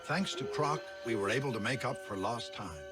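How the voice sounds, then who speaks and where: A male actor voices a noble, kindly father who rules in a children's cartoon. His mid-pitched voice moves up and down expressively. He speaks in earnest, formal phrases, with music clearly playing underneath. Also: lively intonation, natural voice. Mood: grave, reflective. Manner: measured, earnest, formal diction. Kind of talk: animated series voice acting.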